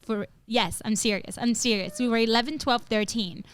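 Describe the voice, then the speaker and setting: A woman from northern Italy co-hosts a casual podcast. Her voice sits a little high and sounds smooth and clear. She tells stories with animated energy and jumps into high-pitched quotes. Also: normal speed. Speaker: podcast co-host. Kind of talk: casual chat.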